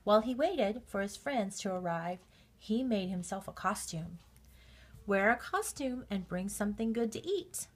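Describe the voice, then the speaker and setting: A woman reading a children's storybook aloud with measured, expressive narration. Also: medium pitch. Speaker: storybook reader.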